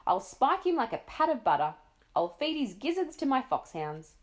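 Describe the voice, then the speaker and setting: Storybook voice: a woman plays a pompous, bellowing old duke, excitable and loud. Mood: blustering. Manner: booming, pompous, hurried shouts.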